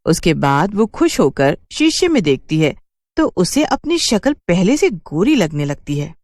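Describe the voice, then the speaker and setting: A woman's voice: on the low side, sing-song, unhurried, darkish tone, no echo, clean studio sound. A woman telling a scripted cartoon story as its narrator.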